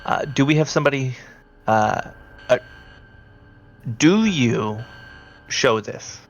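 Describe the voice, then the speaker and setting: A man running a tabletop horror game as game master, talking in a halting, conversational way. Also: mid-range voice, animated, muffled recording, soft background music.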